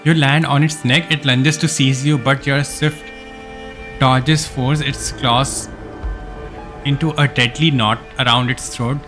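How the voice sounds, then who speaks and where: A man's voice: mid-range voice, a bit bright. A man live streaming a game, reading its story text aloud with casual asides, sometimes stumbling over words and correcting himself.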